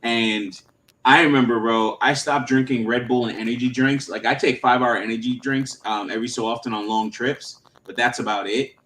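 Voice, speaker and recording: A man's voice: mid-range voice, steady tone, normal speed, a bit bright. Casting: male host, thirties, loose slangy talk, faint noise behind. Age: 30s. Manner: rambling, filler-heavy, slangy. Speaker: channel host. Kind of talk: casual livestream chat.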